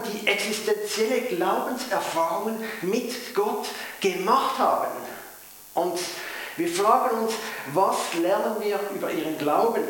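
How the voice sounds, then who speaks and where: A man with a Swiss German accent preaching a sermon in a church, speaking measured and stressing key phrases. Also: sits high, fairly bright tone. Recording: very echoey church hall.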